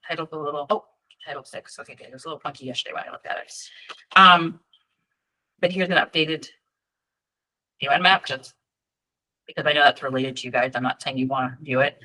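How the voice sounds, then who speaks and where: A woman's voice: sits low, moderate pace, long pauses, pushed and bright, rough recording. A woman presenting data tools at an online work meeting, talking in a chatty, informal way with frequent ums and friendly asides. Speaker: data team presenter at a state EMS registry meeting. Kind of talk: virtual meeting presentation with screen share.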